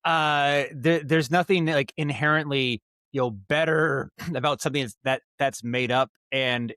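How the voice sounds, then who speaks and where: A man speaks as a guest in a casual interview, his voice at a medium pitch. He rambles thoughtfully, circling back to rephrase his ideas. The sound is dry and free of room echo. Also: measured pace, a bit bright. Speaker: podcast guest, writer and audio drama director.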